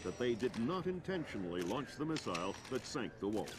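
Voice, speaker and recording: A man's voice: mid-range voice, sing-song, a little fast, close to an everyday voice. A man playing a polished, formal television news anchor in a video game. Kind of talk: broadcast news acted in game.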